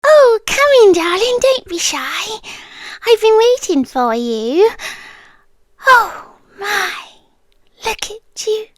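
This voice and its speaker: A woman's voice: extremely high pitch, big pitch swings, slow, pauses often, no echo, heavily acted. A British woman voicing a possessive, tender but menacing winged monster girl in an ASMR roleplay, cooing breathily in half-whispers close to the microphone. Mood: delighted, coaxing.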